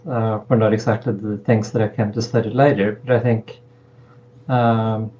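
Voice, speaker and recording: A middle-aged man from Norway, a political science professor, answering interview questions in a measured, hesitant way with many pauses. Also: mid-range voice, a little mellow, muffled recording. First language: Norwegian. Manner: measured, hesitant with frequent ums.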